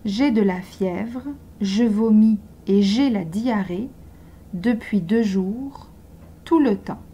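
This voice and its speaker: A woman reads short model sentences for beginner learners, one at a time. She speaks very slowly and articulates every word with care. Her voice is husky and mellow. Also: medium pitch. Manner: slow, carefully articulated dictation. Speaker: language teacher.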